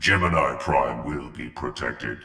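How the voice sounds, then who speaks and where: A menacing battle droid from a video game. It announces coldly in an extremely deep, gravelly monotone. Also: male voice, a little slow, exaggerated voice. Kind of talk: dramatic video game dialogue.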